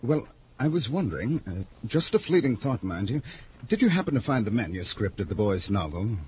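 An actor playing a smooth, ingratiating publisher in an old radio drama, fussy and evasive. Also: male voice, fairly low pitch, big pitch swings, normal speed, darkish tone, a little hiss or hum. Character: oily, greedy middle-aged male publisher. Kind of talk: 1950s radio drama acting.

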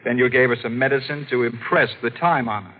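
An actor playing a stern, probing district attorney in a 1940s radio mystery, measured and grave. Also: male voice, medium pitch, close to an everyday voice. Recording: dull old radio broadcast.

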